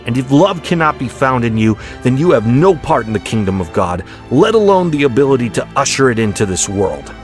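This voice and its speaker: A defiant prophet performed by a man in an audiobook drama. He rebukes with righteous, preacherly fervor, his pitch pushed up above a low everyday voice, over faint music. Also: very animated, fairly quick, hardly any pauses, close to an everyday voice. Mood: impassioned rebuke. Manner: impassioned, scolding, preacherly. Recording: music behind the voice.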